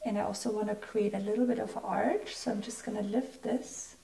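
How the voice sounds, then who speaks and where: Hoarse, gravelly woman losing her voice. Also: medium pitch, steady tone, a little fast, warm tone, poor audio. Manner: chatty, friendly, explains as she works. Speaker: makeup artist. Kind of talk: makeup tutorial.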